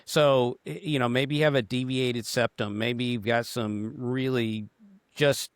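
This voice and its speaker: A man, a running coach, talking casually on a podcast in a halting, joking way with many restarts. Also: medium pitch, fairly even intonation, clean tone, no echo, studio quality.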